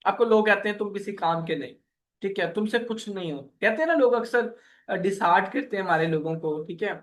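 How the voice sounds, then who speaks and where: Male workshop coach, high-pitched and earnest, motivational preacher-like delivery. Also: animated, a little fast. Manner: earnest, preacher-like, repeats "okay" often; voices the listeners' questions and prayers. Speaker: online earning coach.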